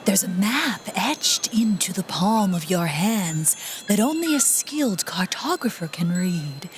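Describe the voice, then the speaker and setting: A woman's voice: medium pitch, animated, a little hiss or hum, lightly acted. A woman playing an ominous fortune teller, singing her palm readings theatrically in a musical. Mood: hushed, mysterious. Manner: drawn-out, theatrical delivery. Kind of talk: sung musical theatre with spoken-whispered passages. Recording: prominent music behind the voice.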